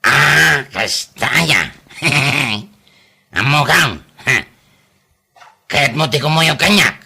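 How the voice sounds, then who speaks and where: A boastful uncle from a comedy drama, acted by a man, forever exclaiming and repeating himself. His voice is very sharp and husky, and he takes his time over the words. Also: on the high side, full character voice. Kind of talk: Ilocano comedy drama acting.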